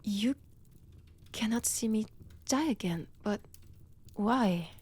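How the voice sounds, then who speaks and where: A woman's voice: medium pitch, darkish tone, husky. A woman with a French accent playing a centuries-old vampire, wounded and obsessive, in an intimate roleplay audio, often dropping into whispers. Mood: hurt, disbelieving. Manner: slow, deliberate, theatrical with long pauses.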